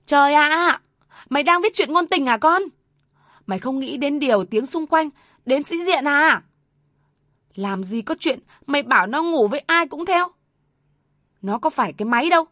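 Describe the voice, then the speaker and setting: A woman voicing an angry, shrill older mother-in-law who scolds and wails. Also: lively intonation, normal speed, pauses often, fairly bright tone, dry recording, studio quality. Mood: furious.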